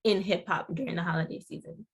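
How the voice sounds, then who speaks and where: A woman's voice: fairly low pitch, fast, breathy edge. A woman interviewing a guest, upbeat and affirming as she asks questions.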